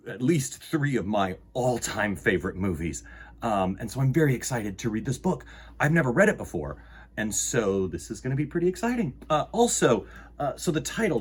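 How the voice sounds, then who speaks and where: A man hosting a storytime, presenting and reading a children's picture book aloud in measured phrases. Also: medium pitch, a little mellow, slightly rough.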